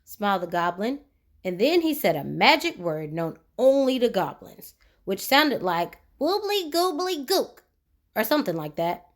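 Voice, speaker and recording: A woman reading a children's story aloud expressively, with dramatic emphasis and drawn-out key words. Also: fairly low pitch, big pitch swings.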